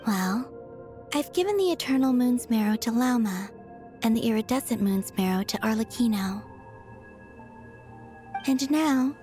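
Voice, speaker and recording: A woman voicing a gentle, wistful young moon goddess in a game cutscene, speaking softly and sincerely in a measured, breathy way. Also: on the high side, lightly acted. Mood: serene, resolute.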